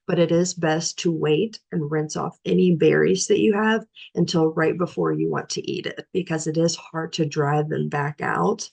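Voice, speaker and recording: Hoarse, gravelly woman teaching cooking tips. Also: low-pitched. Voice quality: raspy. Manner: relaxed, practical, with frequent "um" fillers. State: hoarse from a cold. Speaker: nutrition educator. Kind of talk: informal library talk on cooking.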